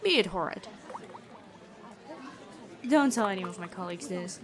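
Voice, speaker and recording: A woman playing a stern but kind, noble-born prison guard in a fantasy ASMR roleplay, talking in a measured, conversational way. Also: on the low side, lively intonation, some chatter behind, pitched below the performer's own voice, natural voice. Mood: confiding.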